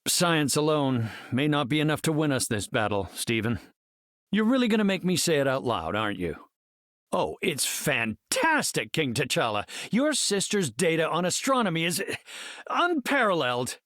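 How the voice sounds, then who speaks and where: An actor playing a brainy, confident scientist hero in a video game, speaking in an articulate, measured and slightly formal way. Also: male voice, fairly high pitch, sing-song, a bit bright, no room sound, studio quality. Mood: thoughtful, earnest. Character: brainy, confident, earnest male scientist superhero leader.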